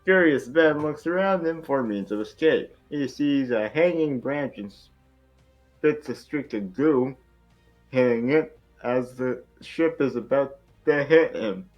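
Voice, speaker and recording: A man's voice: mid-range voice, a little slow, muffled recording, soft background music. A man reading stage directions aloud in an amateur script read, sometimes stumbling over the words.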